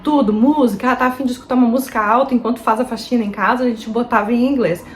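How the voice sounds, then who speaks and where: A woman's voice: on the high side, fairly even intonation, fast talker, faint music behind. Chatty Brazilian woman vlogger. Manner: chatty, earnest, addresses viewers as "gente". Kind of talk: casual advice vlog.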